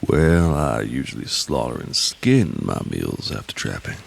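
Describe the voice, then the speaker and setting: A man playing a gruff, taunting old cowboy trapper with a folksy drawl in an audio drama. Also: very animated, a little slow, full character voice. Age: older. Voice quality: very deep, gravelly.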